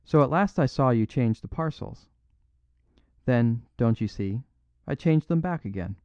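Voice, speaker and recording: A man voicing a humble little priest who speaks in a plain, unaffected, gently apologetic way. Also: medium pitch, expressive, unhurried, no room sound, muffled recording, voice pushed up. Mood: gentle.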